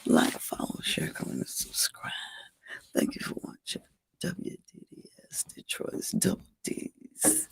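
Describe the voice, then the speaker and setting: A hoarse, raspy-throated host of a casual livestream show, repeating station call-outs and coaxing viewers to like and subscribe. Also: unhurried, dry recording, rough recording.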